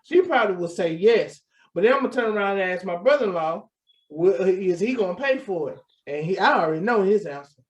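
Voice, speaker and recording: Laid-back high-voiced male co-host. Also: expressive, muffled recording. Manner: laid-back, drawn-out sighs and repeats. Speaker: podcast co-host. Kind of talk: casual chat.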